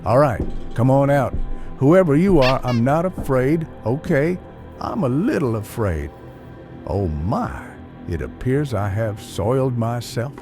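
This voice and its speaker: A man's voice: medium pitch, gravelly. A man acting a jittery old man who lives alone, rambling and folksy as he talks to himself in a comedic audio drama. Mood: nervous bluster. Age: older. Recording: music behind the voice. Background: music.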